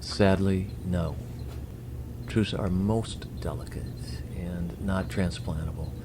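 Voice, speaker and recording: Casting: courteous alien envoy played by a man, low-voiced, formal, grave and apologetic, radio drama, noisy ambience. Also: fairly even intonation, takes it slowly. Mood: regretful. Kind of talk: audio drama acting.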